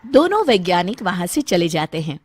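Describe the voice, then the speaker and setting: Lively woman story narrator. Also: lowish voice, normal speed. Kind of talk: scripted children's story narration. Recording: clearly noisy background.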